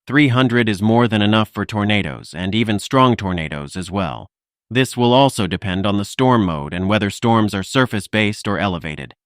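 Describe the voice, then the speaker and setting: A man playing a composed weather livestreamer, giving measured, informal technical forecast commentary. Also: fairly low pitch, animated, no echo, clean studio sound, natural voice. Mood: focused, analytical.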